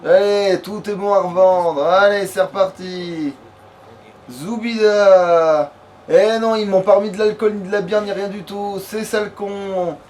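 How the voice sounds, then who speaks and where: A man's voice: high-pitched, steady tone, measured pace, a little mellow. A man giving casual let's play commentary, talking crudely and swearing heavily. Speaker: let's player. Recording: faint background noise.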